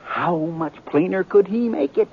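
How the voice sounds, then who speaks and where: An actor playing a nervous, cowardly, blustering Western townsman in a radio drama, stammering and excitable. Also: high voice, a little slow. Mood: agitated. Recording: muffled, noisy old radio recording.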